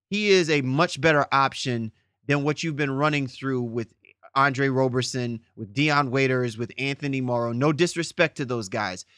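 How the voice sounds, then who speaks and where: A man's voice: medium pitch, a bit bright, no room sound, clean studio sound. A man co-hosting a sports podcast, giving emphatic, long-winded opinions in a discussion. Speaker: podcast co-host.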